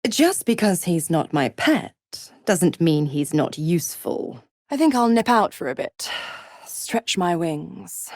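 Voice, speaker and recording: A woman's voice: low-pitched, no echo, clean studio sound, heavily acted. An actress playing a seductive, scheming female devil in a video game, mocking and purring with condescending endearments. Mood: coolly amused. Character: seductive, scheming, gloating female devil who makes pacts. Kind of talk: theatrical game character dialogue.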